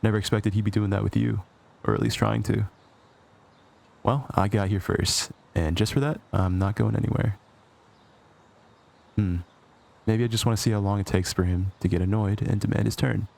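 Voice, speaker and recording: Casting: male actor for cocky villain with a soft spot, low and creaky, conversational, sarcastic, close ASMR roleplay. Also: steady tone, normal speed, pauses often. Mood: smug, fond, then jealous, defiant. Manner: teasing, sardonic, conversational. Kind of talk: intimate ASMR roleplay acting.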